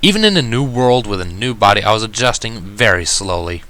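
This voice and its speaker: A man narrating a first-person story in an expressive, reflective and dramatic way. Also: fairly low pitch, a little fast, dry recording. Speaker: reader of fan fiction. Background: background music.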